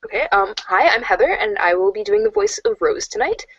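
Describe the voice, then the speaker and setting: A woman's voice: mid-range voice, big pitch swings, brisk, breathy edge, no room sound. A woman in an amateur reader's theater cast, recorded in muffled lo-fi audio. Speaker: voice cast member.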